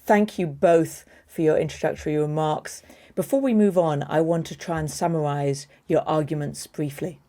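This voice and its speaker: A woman moderating a policy debate, summarizing arguments and posing questions in a measured way. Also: low voice, fairly even intonation, mellow.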